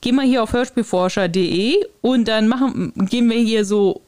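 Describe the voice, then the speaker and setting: A woman co-hosting a podcast in casual chat, lively, laughing often, quick to correct her co-host. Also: on the high side.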